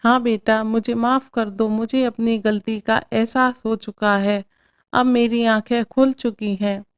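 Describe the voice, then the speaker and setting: A woman's voice: on the high side, fairly even intonation, unhurried, clear, resonant tone, no echo, studio quality, natural voice. A woman doing a mild middle-aged mother-in-law. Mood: remorseful.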